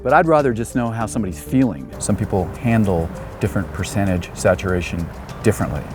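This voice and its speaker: A man's voice: lowish voice, animated, quick pace, over music. A man speaking as an aviation expert, explaining informally from his own experience.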